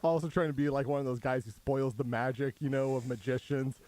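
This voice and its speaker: A man co-hosting a sports radio show, dropping dry, deadpan quips into casual on-air banter from a radio studio microphone. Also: mid-range voice, fairly quick, a little hiss or hum. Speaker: radio co-host.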